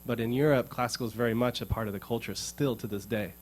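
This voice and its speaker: A man's voice: medium pitch, quick pace, slight background noise. A man from the United States telling a casual, rambling story between songs as a guitarist, earnest and prone to repeating words as he thinks. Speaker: guitarist performing for an audience. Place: music showroom.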